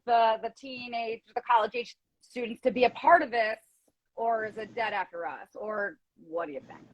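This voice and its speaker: A woman's voice: a little high, muffled recording. A woman around forty hosting a casual chat, blunt and candid, with free swearing. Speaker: podcast host.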